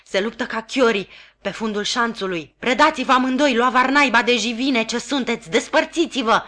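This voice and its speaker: A woman voicing a stern, blunt male army sergeant who gives loud orders while out of breath in a storybook reading. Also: fairly low pitch, completely flat delivery, smooth. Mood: commanding. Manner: commanding, out of breath.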